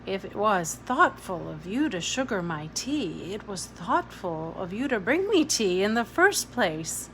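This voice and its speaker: A woman's voice: medium pitch, very animated, measured pace, hardly any pauses, a bit bright, slight background noise, poor audio. A woman reading a storybook, voicing a weary, blunt, guilt-ridden woman guardian with an emphatic manner. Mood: exasperated.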